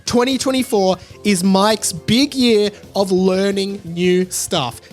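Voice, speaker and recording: A man's voice: sits high, fairly even intonation, slow, faint music behind. A man in his late twenties talking casually and animatedly on a solo podcast, rambling and self-deprecating. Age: late 20s. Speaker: podcast host.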